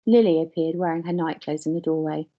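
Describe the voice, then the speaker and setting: A woman reading a novel aloud as a teacher, in an expressive storybook narration style. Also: low voice, quick pace, muffled recording.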